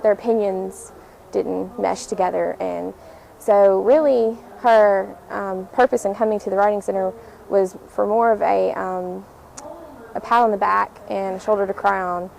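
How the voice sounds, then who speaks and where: Young female tutor. Gravelly fry, medium pitch, halting anecdote full of ums, light room noise. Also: steady tone, a little mellow, poor audio. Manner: halting, hesitant delivery with frequent ums. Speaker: writing tutor. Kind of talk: personal anecdote.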